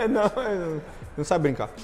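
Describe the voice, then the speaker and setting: A man's voice: sits high, very animated, a little slow, a little mellow, in a large hall, a little hiss or hum. A man, a footballer bantering, teasing and swearing in a guessing game, in an indoor gym. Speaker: football player, quiz contestant.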